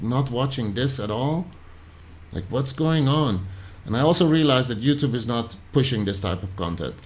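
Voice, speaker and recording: A man from Iran, hosting a livestream, commenting casually and thinking aloud as he reacts to his chat. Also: medium pitch. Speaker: livestream host.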